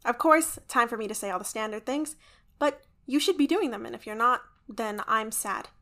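A woman's voice: fairly high pitch, expressive, normal speed, pushed and bright. A woman announcing for a podcast in a chatty, promotional style, playful and informal.

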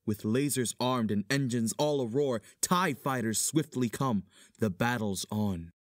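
Man acting as slow, solemn verse chorus. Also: medium pitch, no room sound, clean studio sound, close to an everyday voice. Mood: solemn, stirring. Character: solemn male stage chorus narrating battle. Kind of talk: dramatic verse narration.

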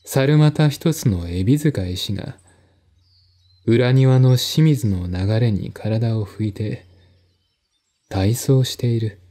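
Slow, mellow male narrator. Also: medium pitch. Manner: measured, deliberate, formal. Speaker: narrator, solo audiobook reader. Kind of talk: dramatic literary narration.

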